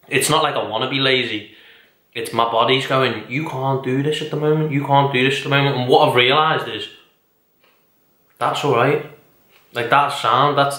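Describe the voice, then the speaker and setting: A man's voice: medium pitch, sharp tone. A young man, talking casually and at length in a personal vlog, rambling and self-deprecating with tangents. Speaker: vlogger. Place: indoors at home. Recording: echoey room.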